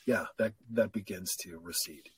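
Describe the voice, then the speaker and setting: A middle-aged man, a coach and podcast guest, talking candidly and reflectively in a relaxed conversation. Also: fairly low pitch, moderate pace, slight background noise. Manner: reflective, candid, self-deprecating. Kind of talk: casual conversation.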